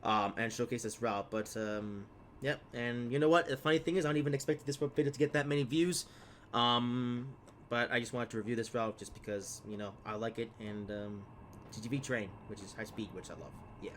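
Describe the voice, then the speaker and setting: A young man, a little congested from a cold, talks through his gameplay in a loose, rambling way, full of fillers and half-finished asides. His voice sits at an ordinary middle pitch. A faint hiss runs under the desk-mic recording. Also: brisk, fairly bright tone. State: slightly stuffed up from a cold. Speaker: gaming video host. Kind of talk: casual gameplay commentary.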